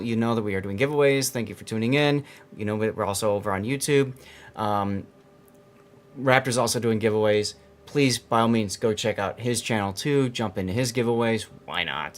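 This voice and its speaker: Man, streamer, tournament host, casual chat, rambling, mid-pitched. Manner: chatty, rambling with fillers. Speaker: streamer hosting a game tournament.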